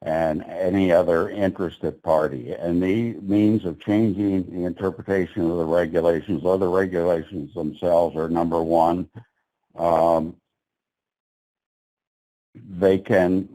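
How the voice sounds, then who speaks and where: A man's voice: sits low, fairly even intonation, measured pace, dark tone, low-quality recording. A man speaking at a public meeting, blunt and sarcastic about the agency's process. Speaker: petitioner at regulatory meeting.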